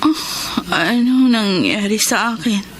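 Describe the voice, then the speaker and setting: A woman's voice: mid-range voice, animated, slow, slight background noise. A woman with a Filipino accent playing a hot-tempered, dramatic older sister-in-law in a radio drama, exclamatory and theatrical, over music. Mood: remorseful.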